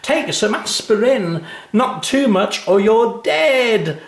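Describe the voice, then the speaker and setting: A man voicing a bouncy, stubborn little girl in a children's story, chanting loudly in sing-song. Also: sits high, animated, slow pace, roomy sound, voice pushed up, full character voice. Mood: loud, cheeky chanting.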